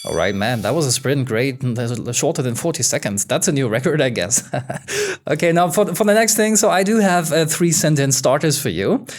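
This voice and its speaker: A male podcast host with a slightly high voice, upbeat and chatty. He asks his questions with plenty of fillers and restarts. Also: lively intonation, normal speed. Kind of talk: podcast interview.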